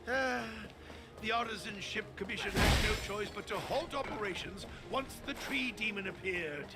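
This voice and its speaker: An actor playing an elderly, courteous artisan master in a video game, speaking in formal, old-fashioned, theatrical phrases. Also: male voice, sits high, normal speed, over loud music, natural voice. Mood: thoughtful.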